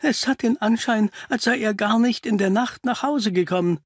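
Storybook townswoman near forty, played by a male reader: anxious, out of breath, husky, pitched well up. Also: normal speed, no room sound, studio quality. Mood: distraught.

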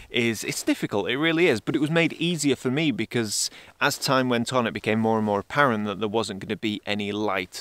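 A man's voice: mid-range voice, fairly bright tone. Reflective man vlogging outdoors. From England. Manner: reflective, thinking aloud with fillers. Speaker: landscape photographer vlogger. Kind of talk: casual vlog narration. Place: outdoors in winter Scottish highlands.

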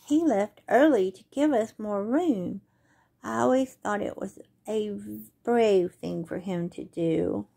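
A woman voicing excited young possum siblings in a children's story, raising her pitch and volume. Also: slow, creaky. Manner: bright, raised, excited delivery.

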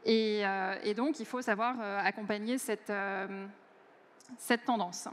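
A woman's voice: medium pitch, steady tone, a little slow. A woman in her forties giving a conference keynote on a large stage through a headset mic, speaking fluently but with many hesitant fillers. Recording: long hall reverb.

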